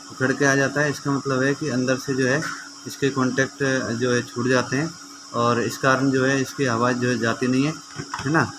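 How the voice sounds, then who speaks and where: A man presents an informal repair tutorial in a mid-pitched voice. He explains things hands-on and keeps checking that viewers follow. The amateur recording has clear background noise.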